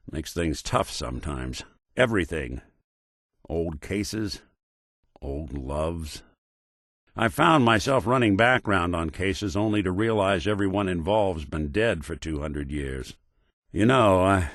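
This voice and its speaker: A man's voice: low-pitched, a bit bright, no room sound, exaggerated voice. A man voicing a weary synth detective in a video game, with an American accent and a dry, sardonic noir delivery. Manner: wry, dry sarcasm, noir detective drawl. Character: weary synth detective, world-worn male android gumshoe. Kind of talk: video game character dialogue. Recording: very dry close studio recording.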